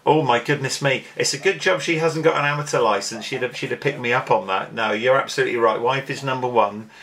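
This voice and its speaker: A man's voice: mid-range voice, fast talker, creaky, fairly bright tone. A middle-aged man with an English accent, chatting in an easygoing way over amateur radio. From England. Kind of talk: casual radio contact chat.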